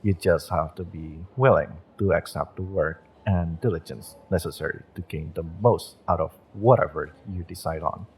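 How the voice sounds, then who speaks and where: A man playing a gentle, encouraging magick shop owner and tarot reader in an intimate audio roleplay, speaking in a measured, mystical, reassuring way. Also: sits low, a little hiss or hum, close to an everyday voice.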